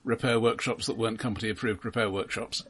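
A man's voice: lowish voice, steady tone, quick pace, fairly bright tone, slightly rough. A man co-hosting a casual film podcast, adding dry, analytical asides. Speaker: podcast co-host.

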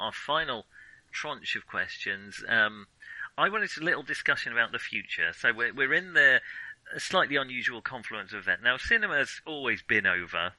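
Male, podcast co-host, sharp bright voice, dry sound, casual film chat, hesitant and rambling. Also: medium pitch, no room sound, rough recording.

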